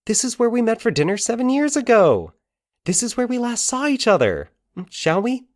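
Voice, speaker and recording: A man's voice: extremely high pitch, wildly swooping pitch, normal speed, dry recording, clean studio sound, pitched above the performer's own voice, exaggerated voice. A man voicing a cheerful, teasing young woman in an audio fiction story, with a breezy, emphatic delivery. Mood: excited.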